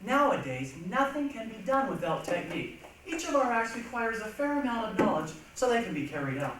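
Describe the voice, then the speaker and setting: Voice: medium pitch, big pitch swings, brisk, a bit bright. A stage reader reciting literary texts with emphatic, dramatic delivery in a reader's theatre performance. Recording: echoey hall from far off, faint noise.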